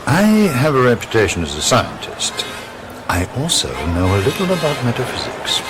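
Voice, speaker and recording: An actor playing a skeptical, probing scientist in an audio drama, formal and pointed in manner. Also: male voice, mid-range voice, wildly swooping pitch, normal speed, music in the background. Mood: formal, insistent. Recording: loud festive street noise behind.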